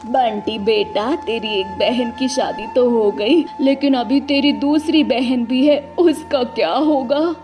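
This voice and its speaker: A woman's voice: a little high, slow, few pauses, dark tone, faint music behind. A woman voicing an old, traditional pigeon mother-in-law in a cartoon story, fretful and admonishing. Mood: concerned.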